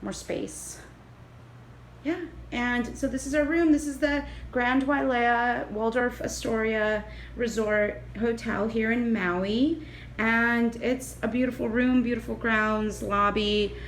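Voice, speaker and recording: Bubbly young woman, slightly hoarse. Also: on the high side, slow, slight background noise. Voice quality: raspy. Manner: bubbly, excited, chatty with fillers. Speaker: travel vlogger. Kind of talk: casual hotel room tour. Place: hotel room and ground-floor patio. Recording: roomy echo, faint ambience.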